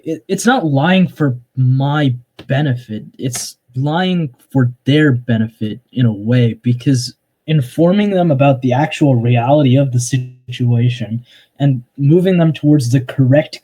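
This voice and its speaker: A young man arguing his point in an online voice-chat debate, halting and restarting, often cutting in with "hang on". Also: medium pitch, mellow, slightly rough, low-quality recording. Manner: hesitant, restarts a lot, interrupts with "hang on".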